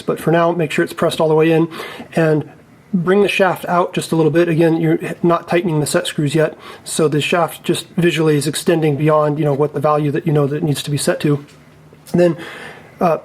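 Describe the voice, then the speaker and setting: A man giving a casual, step-by-step repair demonstration in a methodical, careful, explanatory way. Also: fairly high pitch. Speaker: repair technician presenting a tutorial.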